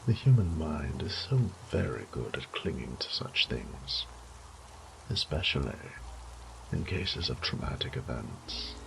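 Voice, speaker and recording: A man playing a suave, sadistic vampire lord in a horror audio roleplay, with a theatrical, ornate, taunting delivery that often drops to a breathy whisper. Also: a bass voice, background noise, heavily acted. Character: suave, sadistic male vampire lord toying with his captive prey.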